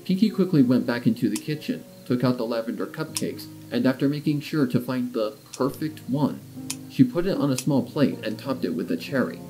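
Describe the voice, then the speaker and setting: A man's voice: mid-range voice, lively intonation, a little fast, warm tone, low-quality recording. A man reading scripted storybook narration as the narrator of a fan fiction. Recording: background music under the voice.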